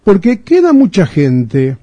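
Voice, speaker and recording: A man hosts a radio show in a studio. His voice is high and lively for a man, yet mellow in tone, with a dry sound. He talks emphatically and keeps coming back to the same point. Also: takes it slowly, no echo. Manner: indignant, emphatic, repeats himself. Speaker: radio host. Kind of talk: radio talk.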